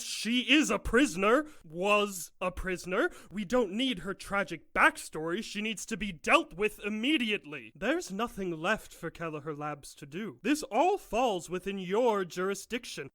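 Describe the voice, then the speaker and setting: A man's voice: sits high, bright, forceful tone, heavily acted. A man playing a haughty, impatient, domineering professor in an audio drama, with a clipped, scolding manner. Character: haughty, impatient professor who runs a lab, cutting and domineering.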